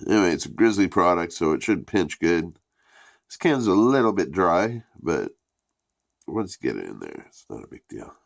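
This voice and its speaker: A man with a very deep, gravelly voice talks in a stuffy, congested way. His delivery is loose and profane, rambling along with lively swings in pitch, and the recording is close and dry. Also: normal speed, no echo. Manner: profane, rambling, laid-back. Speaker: product reviewer. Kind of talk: casual rambling review.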